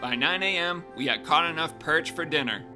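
Fishing show host narrating over guitar. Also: male voice, on the high side, moderate pace. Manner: calm, steady narration, excited exclamations on the boat. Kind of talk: scripted narration and casual chat on a boat. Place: small boat on a freshwater pond, outdoors. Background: guitar music.

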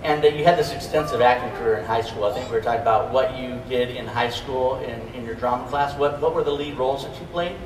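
A man's voice: mid-range voice, fast, hardly any pauses. A middle-aged American man casually interviewing a guest on stage as panel moderator. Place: large indoor convention stage, recorded from audience. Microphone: podium mic through PA, distant camera. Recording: distant echoey hall, crowd noise.

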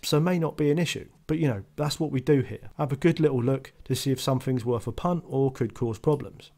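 A man's voice: fairly high pitch, darkish tone. A man giving a scripted voice-over tool review, matter-of-fact with dry, understated asides.

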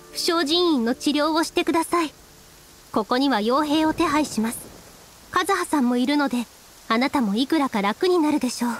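A woman acting as a gentle young priestess who commands an army in a video game. Her voice is high, and she speaks in polite, measured, formal phrases. Music and rain play underneath. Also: lightly acted. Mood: calm, caring.

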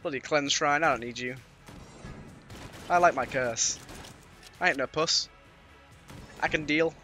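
A British man with a cold and a slightly raspy voice, giving casual, playful, mildly sweary let's-play commentary. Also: on the high side, a little slow, sharp tone. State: has a cold. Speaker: gaming YouTuber. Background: game music.

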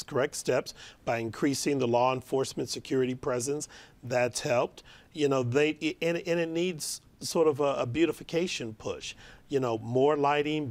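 A middle-aged man speaking on a TV panel in a plain, conversational way. His voice sits at an ordinary pitch, picked up on a lapel mic in a studio. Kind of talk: televised political panel debate. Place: television studio desk.

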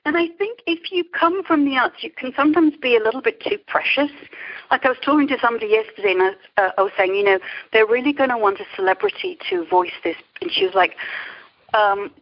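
Higher-pitched woman on a dull line. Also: expressive, fast. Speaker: interview guest, creativity coach. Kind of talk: conversational podcast interview.

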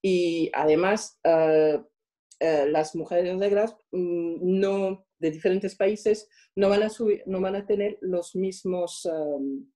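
A woman from France with a French accent, giving reflective interview answers and often pausing to search for the right words. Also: fairly low pitch, fairly even intonation, dark tone. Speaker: interviewed guest.

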